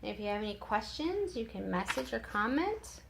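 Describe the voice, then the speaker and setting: A woman's voice: fairly high pitch, very animated, moderate pace, creaky, noisy background. A woman who reads children's stories as a teacher, in an instructive way with casual explanatory asides. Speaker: children's story reader and teacher. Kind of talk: read-aloud storytime with casual asides.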